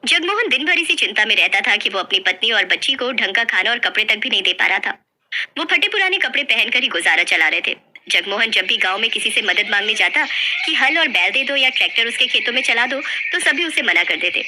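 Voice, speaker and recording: A woman's voice: on the high side, expressive, a little fast, pushed and bright. A woman narrating a scripted folk story as a storyteller.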